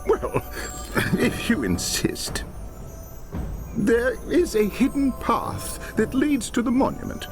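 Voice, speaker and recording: An actor playing an eager, awkward male scholar in a fantasy video game, with a hesitant, excitable manner. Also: very high-pitched, slow pace, exaggerated voice. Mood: giddy, eager. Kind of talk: video game character acting. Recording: loud music behind the voice.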